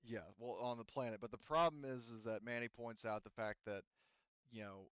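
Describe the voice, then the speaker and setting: A man running a tabletop roleplaying game, explaining things casually in a halting, self-correcting way as game master. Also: medium pitch, steady tone, fairly bright tone, smooth, no echo. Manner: halting, self-correcting, frequent "you know". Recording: dull, lo-fi voice audio.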